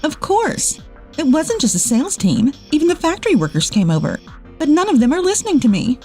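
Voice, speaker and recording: A woman's voice: high voice, very animated, fairly quick, darkish tone, faint music behind. A woman voicing assertive office women in a dubbed comic drama. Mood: indignant. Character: bitter, bossy female ex-boss, and calm capable female employee.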